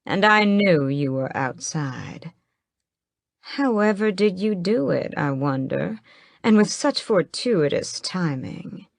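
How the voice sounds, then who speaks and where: A sinister male vampire drawls each line slowly and with lazy mockery, voiced by a woman. His voice sits low in a dry, close sound. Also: lively intonation, creaky, no echo, full character voice. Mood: mocking. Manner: drawling, mocking, unhurried.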